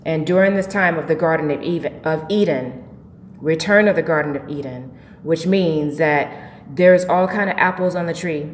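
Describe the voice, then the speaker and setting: A woman's voice: sits low, normal speed. A woman hosting an informal spiritual talk show, giving a preachy, emphatic monologue with rhetorical questions. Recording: echoey, reverberant room with faint noise.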